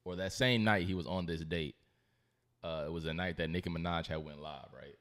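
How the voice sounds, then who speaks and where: A man's voice: deep voice, brisk, fairly bright tone. A young American man in a casual podcast debate with friends, insistently repeating his points into a close microphone. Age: young adult. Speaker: podcast host. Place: living room, on a couch. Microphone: podcast microphone on a stand, close.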